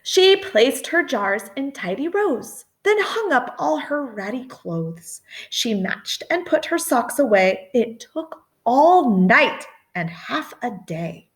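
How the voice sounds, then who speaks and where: A middle-aged woman reading a children's picture book expressively, with dramatic emphasis and chatty asides. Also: high voice. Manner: animated, deliberate, dramatic emphasis. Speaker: storytime reader.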